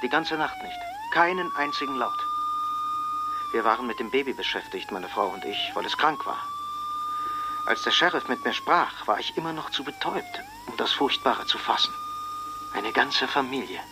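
An actor playing a shaken small-town witness in a radio drama, speaking haltingly in short broken phrases. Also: male voice, fairly high pitch, huge pitch swings, background noise. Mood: numb, shocked.

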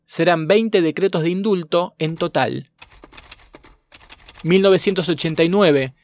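Male documentary narrator, fairly high register, scripted, measured and formal delivery. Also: a little hiss or hum.

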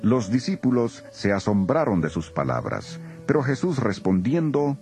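A man narrating a dramatized scripture reading as an expressive storyteller. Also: medium pitch, normal speed, faint music behind.

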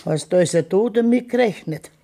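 A woman's voice: medium pitch, fairly quick, gravelly, warm tone. A worried Bavarian grandmother. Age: older. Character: old grandmother, worried and protective. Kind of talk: 1970s radio play acting.

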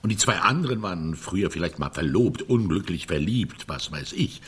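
A man's voice: low-pitched, animated, moderate pace, fairly bright tone, husky, heavily acted. An actor playing a grumpy, dismissive older man with a curt, sardonic way of talking in a German radio drama. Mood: scornful, grumbling.

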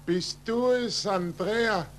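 An actor playing an ageing, courteous but resigned disgraced scholar in measured, formal speech for a 1940s radio play. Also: male voice, sits high. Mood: welcoming. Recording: noisy 1940s radio recording.

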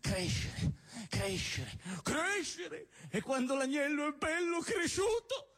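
A man's voice: extremely high pitch, very animated, slow pace, fairly bright tone. A man performing theatrical spoken-word poetry on stage, declamatory, emphatic and irreverent, with insistent repetition. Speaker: performance poet. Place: live on stage at a cultural venue event.

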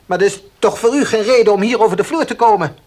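A man's voice: high-pitched, fast talker, a little mellow, close to an everyday voice. Brusque, irritable village baker, played by a man. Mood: reproachful. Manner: brusque, agitated, repeats himself. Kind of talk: Dutch radio play acting. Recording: faintly hissy old radio play.

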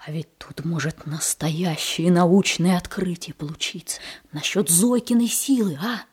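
Husky, earnest schoolboy plotter. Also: female voice, lowish voice, a little slow, darkish tone. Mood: secretive, determined. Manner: conspiratorial, insistent. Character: earnest, stubborn schoolboy confiding a secret. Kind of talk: Soviet children's radio play acting.

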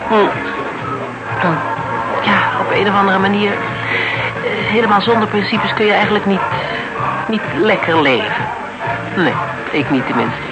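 A woman's voice: medium pitch, measured pace, long pauses, natural voice, low-quality recording. Radio drama role: candid, principled woman played by an actress, reflective and hesitant, music strong behind her. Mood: musing, earnest. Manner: hesitant, musing, self-correcting. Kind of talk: naturalistic radio drama dialogue.

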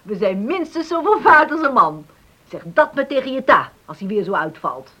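A woman's voice: a little high, very animated, normal speed, sharp tone, exaggerated voice. An actress playing a blunt, devout, protective middle-aged mother in a Dutch radio drama, speaking emphatically and homely. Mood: brisk, urging. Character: plain-spoken, devout mother, defiant and protective.

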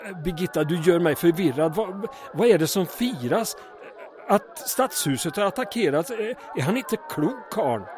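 Cast a man as a sleepy, befuddled town mayor, high-pitched, halting, radio play. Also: expressive, a little mellow. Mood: baffled. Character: groggy, bewildered male mayor woken at night.

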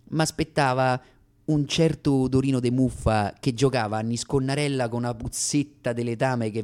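A man's voice: medium pitch, darkish tone, close to an everyday voice. An actor playing a young aspiring comic from Rome, telling his story with a Roman accent in a dramatic, self-mocking radio monologue.

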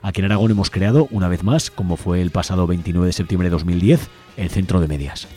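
Male community radio host, Spain accent, deep voice, scripted announcements over faint background music. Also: quick pace. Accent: Spanish from Spain. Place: radio studio.

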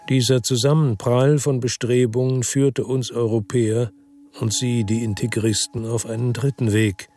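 An actor playing a weary, brooding middle-aged narrator in a radio drama, speaking in a somber, measured, reflective way. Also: male voice, medium pitch, a little mellow, husky. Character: weary, brooding middle-aged male first-person narrator, world-worn investigator.

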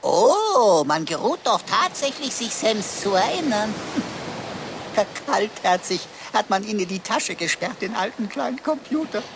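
A woman's voice: mid-range voice, sing-song, a little slow, slight background noise. A woman voicing a small old talking computer in a radio play, lamenting pompously and theatrically. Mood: offended, lamenting. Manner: lamenting, pompous, self-dramatizing. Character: offended, theatrical old little pocket computer.

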